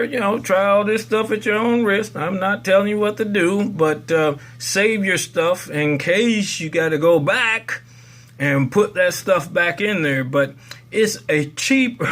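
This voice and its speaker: A man's voice: high-pitched, measured pace, a bit bright. A man hosting a casual how-to demonstration in a folksy, rambling way. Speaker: tutorial video host.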